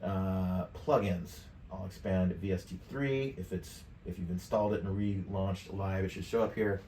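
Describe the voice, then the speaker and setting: A middle-aged man with a low voice talks through a software tutorial in a casual way. He sounds a little distant from the microphone, in an echoey indoor room. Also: steady tone, normal speed. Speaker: tutorial presenter. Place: indoor home studio. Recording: roomy, distant mic, faint noise.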